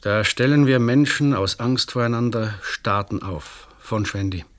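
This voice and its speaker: A man's voice: mid-range voice, a little slow, close to an everyday voice. An actor playing a weary, disillusioned male examining magistrate in a German radio play, speaking formally and rhetorically. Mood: bitter, weary.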